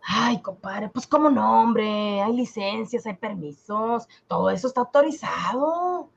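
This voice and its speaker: A man's voice: high-pitched, lively intonation, unhurried, vocal fry, voice pushed up, heavily acted. Guy doing a sly know-it-all neighbour, folksy and pushy, radio comedy, sound a bit dull. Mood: knowing, persuasive. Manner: folksy, insistent, explanatory. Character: worldly, sly male neighbour, folksy and knowing. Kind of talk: comic radio drama acting.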